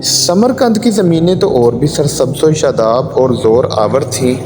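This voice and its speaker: A man's voice: medium pitch, very animated, lightly acted. A man voicing a conquering governor in a moral story, formally defending himself before a court. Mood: defensive. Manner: formal, self-justifying. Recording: long hall reverb, music underneath.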